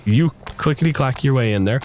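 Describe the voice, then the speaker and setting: A man's voice: medium pitch, fairly quick, darkish tone, a little hiss or hum. A man improvising tabletop game narration as game master in a casual, wry, conversational way.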